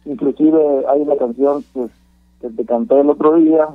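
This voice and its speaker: High-voiced Sonoran man on a phone line. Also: fairly even intonation, no room sound. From Sonora, Mexico. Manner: friendly, halting with repeated words. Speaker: songwriter guest. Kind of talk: casual interview by phone. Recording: dry tinny phone line.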